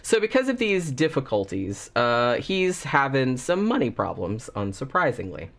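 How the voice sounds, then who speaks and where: A man's voice: mid-range voice, wildly swooping pitch, moderate pace, creaky, a bit bright. A man hosting a casual movie review, talking through a cold with a slightly congested voice in a rambling, chatty way full of fillers.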